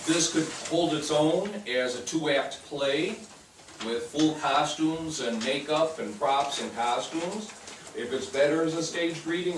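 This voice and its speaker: A man hosting informally, addressing a theatre audience and inviting their feedback. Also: a little high. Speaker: theatre company host. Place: indoor theatre stage. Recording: roomy theatre, distant mic, noisy.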